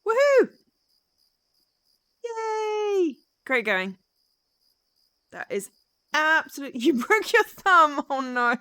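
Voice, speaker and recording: A woman's voice: high-pitched, sing-song, extremely slow, pauses often, bright, forceful tone, no room sound. A woman chatting casually and exuberantly with viewers as she live-streams a game.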